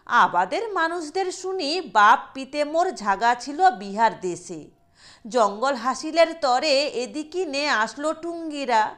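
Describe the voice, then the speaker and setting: Woman voicing a plaintive rustic villager, high-pitched. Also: a bit bright, exaggerated voice. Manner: plaintive, rustic dialect speech.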